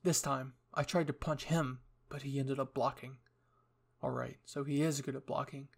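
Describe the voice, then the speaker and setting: A man's voice: mid-range voice, steady tone, normal speed, creaky, husky. A young man reading scripted material as the narrator and host of a story channel.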